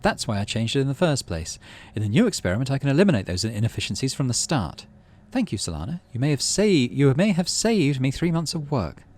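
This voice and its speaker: A man's voice: medium pitch, very animated, brisk, few pauses, darkish tone, voice pushed up. A man reading the lines of a proud, prickly teenage girl scientist in a game, in a near-plain voice. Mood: earnest. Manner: curt and defensive.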